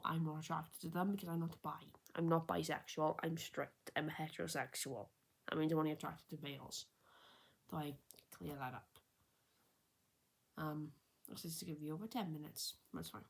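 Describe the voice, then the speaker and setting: A woman's voice: sits low, steady tone, moderate pace, vocal fry, breathy edge. A woman in her early twenties telling a personal story in a rambling, unscripted vlog, with many restarts and self-corrections. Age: 20s. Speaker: vlogger. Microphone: camera set a little far away.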